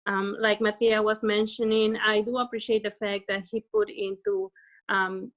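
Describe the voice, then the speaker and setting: A woman from Peru speaking on a panel in a measured, thoughtful way. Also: medium pitch, flat delivery. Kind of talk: casual discussion.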